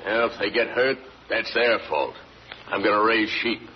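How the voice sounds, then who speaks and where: An actor playing a hostile, domineering sheep-farmer father in a radio drama, gruff and curt, with a rural American accent. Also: on the low side, moderate pace, close to an everyday voice. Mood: surly. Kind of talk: old-time American radio drama acting. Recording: muffled, noisy old radio recording.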